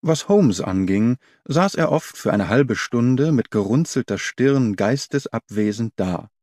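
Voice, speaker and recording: A man's voice: mid-range voice, lively intonation, a little slow, a little mellow, no echo, clean studio sound. A man reading scripted literary narration as an audiobook narrator.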